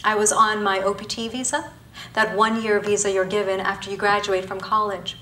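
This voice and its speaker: A woman's voice: medium pitch, little pitch movement, sharp tone, far from the mic, slight background noise. Emphatic woman in an echoey hall. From Bangladesh, raised in Thailand. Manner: emphatic, rhetorical delivery with pauses between listed words. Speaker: spoken-word performer. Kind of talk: live spoken-word performance. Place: university auditorium before an audience.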